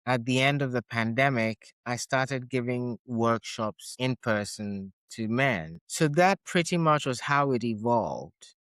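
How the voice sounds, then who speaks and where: A man's voice: mid-range voice, fairly bright tone, clear, resonant tone, dry recording. A middle-aged man, raised in Ghana, Switzerland and the UK, speaking as a guest touch therapist, telling his story in a reflective, explanatory way. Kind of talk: casual interview storytelling.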